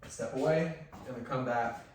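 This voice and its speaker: Male dog trainer, loud voice, chatty and instructive; distant mic in a boomy room with light hiss. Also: normal speed, very echoey. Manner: instructive, conversational, drops to soft commands to the dog. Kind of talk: hands-on training demonstration.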